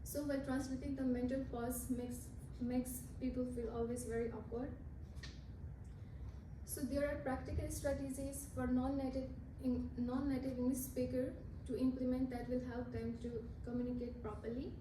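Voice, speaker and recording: A young woman whose first language is Nepali, giving a class presentation in a halting way, often restarting and correcting herself. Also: high-pitched, flat delivery. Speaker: student speaker. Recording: roomy, from a distance, with noise.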